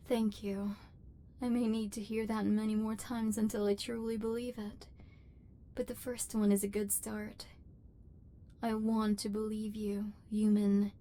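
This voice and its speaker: A woman voicing a lonely, wounded female robot overlord in an ASMR roleplay, speaking in formal, measured, machine-like phrases, guarded but yearning. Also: on the high side, mellow, slight background noise, natural voice. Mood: vulnerable, trusting.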